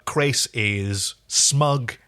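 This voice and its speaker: A man's voice: mid-range voice, extremely slow, background noise. A man co-hosting a podcast, casually recapping a TV episode in a playful way with trailing asides. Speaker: podcast co-host.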